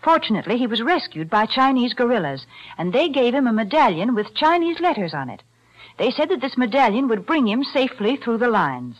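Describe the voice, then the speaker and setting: A woman delivering a sponsor's pitch on a 1940s radio show in a brisk, storytelling manner. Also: big pitch swings. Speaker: sponsor announcer. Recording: dull 1940s radio broadcast.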